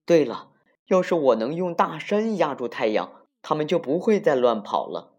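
A woman's voice: low voice, very animated, moderate pace, darkish tone, voice pushed up. Woman playing stern giant guardian, raised emphatic voice. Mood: determined. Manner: emphatic, scolding and resolute. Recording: muffled lo-fi recording with music.

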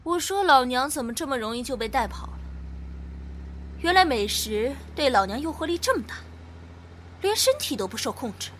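A woman playing a brash, excitable, food-loving young cafe owner in a Chinese audio drama. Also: high-pitched, moderate pace, long pauses, a little hiss or hum. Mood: indignant, excited. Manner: bossy, excitable, playful.

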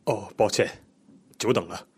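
An actor playing a police inspector in a radio drama, polite and measured but quietly pressing and official. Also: male voice, fairly low pitch, husky. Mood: businesslike. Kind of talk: broadcast drama acting.

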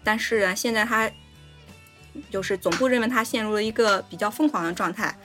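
A woman's voice: mid-range voice, fairly quick, bright, forceful tone, faint music behind. A woman co-hosting a casual film discussion, going step by step with repeated linking words. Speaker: podcast co-host.

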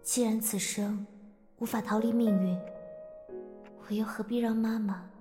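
A dutiful, resigned young woman in an audio drama, played by an actress. Her fairly high voice is gentle and earnest, with clear background music underneath. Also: fairly even intonation, measured pace, lightly acted. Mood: resigned, wistful. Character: dutiful, resigned 25-year-old daughter, designer. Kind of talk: Chinese audio drama acting.

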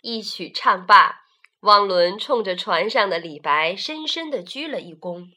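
A woman narrating a story for children, slow and expressive. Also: medium pitch.

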